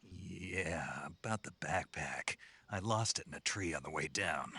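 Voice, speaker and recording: An actor playing a gruff, dry-witted special forces soldier in a video game, gravelly and husky, terse and deadpan. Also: male voice, low voice, unhurried, no echo, heavily acted. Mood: dry, wry. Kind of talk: scripted video game voice acting.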